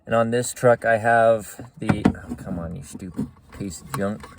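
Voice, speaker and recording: A man's voice: on the low side, steady tone, unhurried, creaky, heavy background noise. A man hosting a casual how-to tutorial from inside a truck at night, rambling and grumbling at his gear. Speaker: channel host showing truck repairs.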